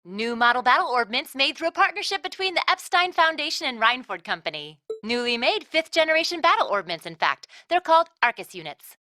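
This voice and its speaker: A breezy female academy instructor from a video game. Her voice sits high and bright, with casual, teasing explanations that swing freely in pitch. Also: big pitch swings, a little fast, few pauses, dry recording, clean studio sound, heavily acted. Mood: breezy, upbeat. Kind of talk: English video game voice acting.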